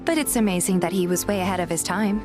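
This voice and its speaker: A woman playing a curious, polite young game heroine, narrating in the first person and speaking her dialogue in an earnest, gentle manner. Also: lowish voice, quick pace, music in the background, close to an everyday voice.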